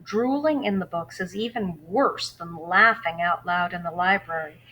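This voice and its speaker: A woman's voice: on the low side, normal speed, sharp tone, a little hiss or hum, rough recording. A woman teacher reading a novel aloud to students, giving instructions in between and telling the story expressively. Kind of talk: read-aloud lesson for students.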